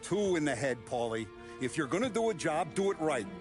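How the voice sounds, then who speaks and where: An actor playing a blunt, authoritative mob boss giving orders in a video game cutscene. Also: male voice, a little high, big pitch swings, fairly quick. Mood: stern. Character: commanding older male mob boss. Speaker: crime boss. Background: music score.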